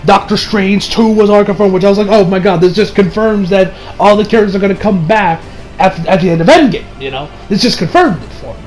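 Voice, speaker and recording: A young adult man giving a casual, rambling movie review with excitable delivery and frequent swearing. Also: high voice, fast, faint music behind, poor audio. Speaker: video reviewer. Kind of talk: casual movie review chat over gameplay.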